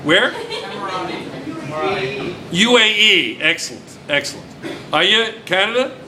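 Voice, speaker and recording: A man's voice: high voice, lively intonation, slow, a crowd in the background. A man teaching a class, chatty and teasing, repeating his students' answers back to them. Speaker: physics teacher. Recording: noisy classroom recording.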